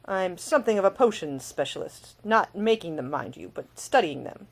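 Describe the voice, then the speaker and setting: A woman voicing a large, cheery, roguish idle gentleman in an audiobook, with a formal, lightly amused drawl. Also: lowish voice, animated, moderate pace, vocal fry. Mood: amused, suave. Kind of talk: audiobook character dialogue.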